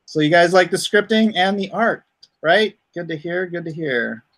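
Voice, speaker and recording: A man's voice: high-pitched, animated, moderate pace, a bit bright. A man hosting a casual livestream chat, rambling and thinking aloud. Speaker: comic artist hosting a livestream.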